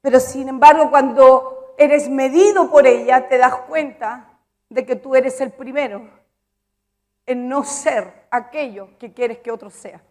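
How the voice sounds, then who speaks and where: A woman's voice: high voice, a little slow, darkish tone. A woman preaching a sermon. She speaks with emphasis, repeats her phrases and puts questions to the congregation.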